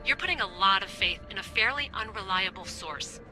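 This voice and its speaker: A friendly female sidekick voiced by an actress chats in a game cutscene. She is wry and conversational at a medium pitch, with music playing clearly underneath. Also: lively intonation, brisk, natural voice, rough recording. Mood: helpful, sceptical. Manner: conversational, lightly teasing. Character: friendly, wry female tech assistant, loyal but doubtful. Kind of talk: naturalistic video game cutscene acting.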